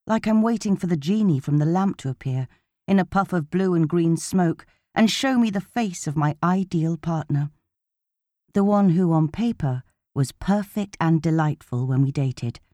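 A woman reading a first-person novel as an audiobook narrator, in an expressive, conversational storytelling way. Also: deep voice, no room sound, studio quality.

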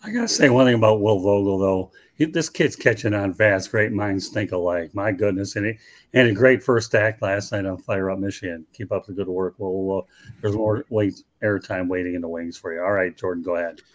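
A man with a low, slightly hoarse voice runs a casual sports talk show. He is chatty and jokey as he keeps the panel moving. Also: a little fast.

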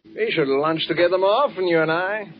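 An actor playing a genial, food-loving German factory boss who chats and repeats himself, in 1940s radio drama style. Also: male voice, sits high, close to an everyday voice. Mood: jovial. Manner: chatty, repeats himself, drawn-out fillers. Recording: dull, noisy 1940s radio recording.

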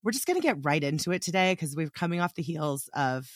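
Woman podcast host, voice scratchy and hoarse from losing it, chatty and effusive, dry close sound. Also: low voice, lively intonation, quick pace, no echo. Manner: gushing, rambling with restarts. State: hoarse, lost voice. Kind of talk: casual podcast monologue.

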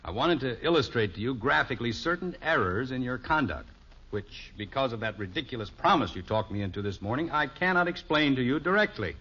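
A man's voice: mid-range voice, expressive, a little fast, bright, forceful tone, slightly rough. An actor playing a flustered, wordy, well-meaning middle-aged suburban father in a 1950s radio sitcom, with an American accent and a hesitant, mock-formal manner. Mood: exasperated, preachy. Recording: muffled, noisy old radio recording.

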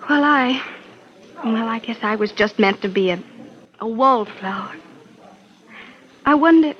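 An actress playing a shy, lonely young woman who speaks softly and hesitantly, in 1940s radio acting. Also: a little high, measured pace, lo-fi sound, lightly acted. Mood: wistful. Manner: soft, hesitant.

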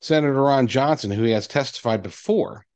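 A man hosting a commentary show, reading articles aloud and adding his own emphatic, deliberately repeated asides. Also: medium pitch, darkish tone. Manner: emphatic, deliberate repetition of key phrases.

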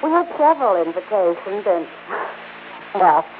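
An actress playing a frivolous, snobbish high-society daughter with an affected, gushing manner, in 1960s radio drama style. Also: female voice, sing-song, normal speed, narrow, tinny audio, natural voice. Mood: breezy, self-satisfied. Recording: band-limited, faintly noisy old radio recording.

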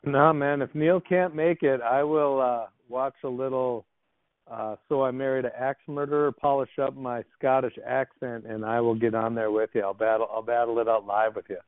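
A man's voice: mid-range voice, fairly even intonation, moderate pace, clear, resonant tone, dry recording. A male podcast co-host who trades casual jokes about football with his partner. His voice comes through tinny and dry, like a phone call. He talks in an easygoing, bantering way. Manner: joking, banter. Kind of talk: casual sports podcast chat.

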